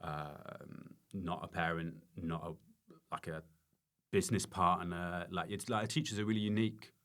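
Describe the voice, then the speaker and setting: A man talking casually as an interview guest, halting and self-correcting, repeating words for emphasis. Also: deep voice, steady tone, a bit bright. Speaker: podcast guest, stand-up comedian and former teacher.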